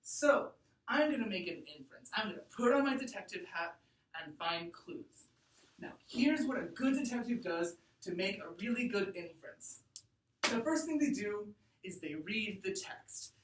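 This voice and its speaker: A woman teacher reading aloud to a class and explaining deliberately and expressively, thinking out loud. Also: mid-range voice, lively intonation, a little hiss or hum. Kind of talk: read-aloud lesson to a class. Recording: echoey room, distant mic.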